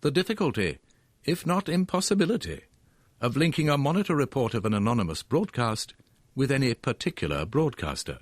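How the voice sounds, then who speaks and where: Man acting a formal, emphatic judge, swooping pitch. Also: big pitch swings, a little fast, no room sound. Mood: grave, emphatic. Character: formal male judge delivering his observations. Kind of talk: dramatised reading of a court document.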